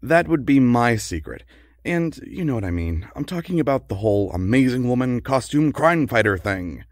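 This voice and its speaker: An actor playing a worried, long-suffering husband of a secret superheroine in an audio comedy drama. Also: male voice, mid-range voice, lively intonation, moderate pace, no room sound, clean studio sound, close to an everyday voice. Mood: wry, worried.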